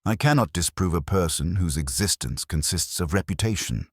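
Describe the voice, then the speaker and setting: A man's voice: low voice, lively intonation, normal speed, breathy edge, no room sound, studio quality. A man voicing an agreeable, dutiful junior policeman who reports drolly and matter-of-factly in a mystery story. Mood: dutiful, droll.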